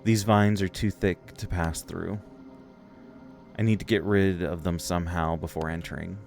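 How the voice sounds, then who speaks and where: Very deep-voiced man narrating a game. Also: steady tone, moderate pace, vocal fry, darkish tone. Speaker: streamer reading a game's text aloud. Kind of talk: let's play narration, reading dialogue aloud. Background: game music.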